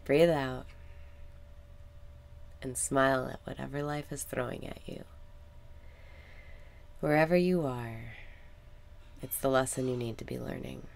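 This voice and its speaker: A woman leading a guided meditation in a calm, soothing manner, with soft, sometimes breathy phrasing and deliberate pauses. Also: a bass voice. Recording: background noise with ambient sound.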